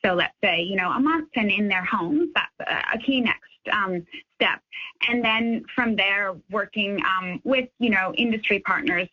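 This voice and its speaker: A woman researcher explaining her work as a radio interview guest, in halting phrases. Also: medium pitch, husky, muffled recording.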